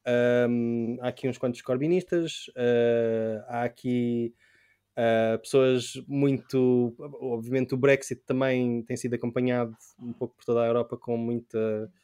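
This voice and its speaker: A man's voice: mid-range voice, fairly even intonation, measured pace, warm tone, smooth. A man from Portugal hosting a casual podcast, asking loose, slangy questions and laughing along. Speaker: podcast host.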